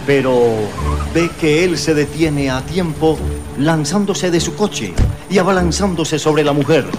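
A man reading scripted narration for a radio drama in a suspenseful, deliberate, theatrical way. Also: fairly high pitch. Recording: music behind the voice.

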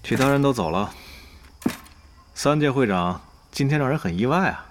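An actor playing a composed, calculating guild leader in an audio drama, measured and diplomatic. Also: male voice, mid-range voice, animated, background noise, natural voice.

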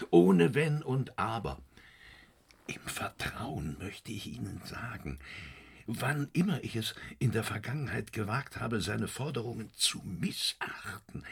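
A departing opera director in a radio play, low and husky. He is fearful and secretive, warning with conspiratorial urgency and stiff formality. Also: exaggerated voice. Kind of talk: German radio drama acting.

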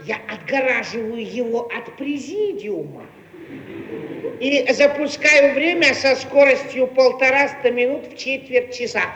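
An actor playing a brash, jovial, practical young comrade with a folksy, teasing manner in a radio play. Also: male voice, very high-pitched, a little slow, fairly bright tone. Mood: eager, mocking. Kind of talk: Soviet radio play acting. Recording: echoey, faintly noisy archive radio recording.

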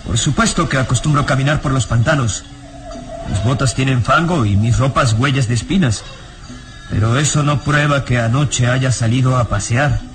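An actor playing a defensive, evasive suspect in a radionovela, curt, indignant and dismissive. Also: male voice, medium pitch, normal speed, music in the background, close to an everyday voice. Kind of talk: radionovela acting.

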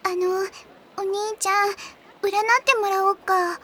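A woman voicing a gentle, anxious little girl in an anime audio drama, timid and hesitant. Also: extremely high pitch, steady tone, a little slow, slight background noise, heavily acted. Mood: timid, hopeful. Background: background music.

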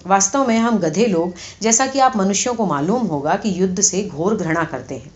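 A woman's voice: mid-range voice, natural voice. A woman reads as an earnest talking donkey from a satirical storybook. He speaks formally and philosophically, like a speechmaker, with mock pomp. The sound is slightly lo-fi. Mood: earnest, preaching. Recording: slightly dull, lo-fi audio.

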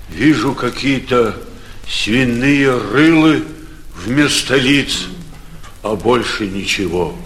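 An actor playing an outraged, humiliated old provincial mayor in a theatrical, exclamatory 1940s Russian stage style. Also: male voice, fairly low pitch, a bit bright, a little hiss or hum, full character voice. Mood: crushed. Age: older. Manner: theatrical, exclamatory, self-berating. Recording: 1949 theatre radio production.

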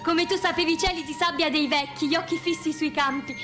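An actress playing a proud, grieving widow, reciting poetically in a theatrical radio drama. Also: female voice, very high voice, fairly even intonation, fairly quick, over music. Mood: lyrical, proud.

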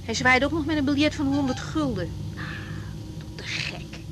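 Lively high-voiced young woman doctor. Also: moderate pace, noisy background. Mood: animated, confiding. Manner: hesitant, repeating words, informal. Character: young woman doctor, frank, caring but easily thrown. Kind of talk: naturalistic Dutch radio drama acting.

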